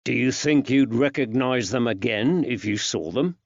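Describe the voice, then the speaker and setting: A man voicing a kindly character who gently coaxes answers from a child, with old-fashioned working-class phrasing. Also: medium pitch, moderate pace, gravelly, no room sound, dull audio, lightly acted. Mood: probing, encouraging.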